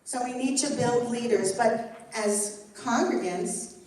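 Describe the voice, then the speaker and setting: A woman's voice: on the high side, slow. An older woman teaches as a guest rabbi in an earnest, encouraging way, speaking straight to the group. Her voice is recorded from a distance in a very echoey hall, with a faint hiss underneath. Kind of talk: Torah study teaching with Q&A. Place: synagogue hall. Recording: echoey room, mic far off, faint noise.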